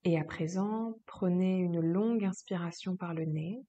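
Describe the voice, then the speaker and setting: A woman yoga teacher leading a guided relaxation meditation, speaking slowly and calmly in a soft, husky voice with long pauses. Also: lowish voice, dull audio, a little hiss or hum. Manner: slow, calm, soothing instruction with long pauses.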